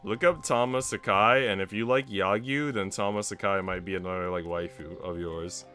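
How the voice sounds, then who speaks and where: A man's voice: on the low side, normal speed, sharp tone, soft background music. A man livestreaming in casual, conversational chat with his viewers. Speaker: livestreamer reading visual novels.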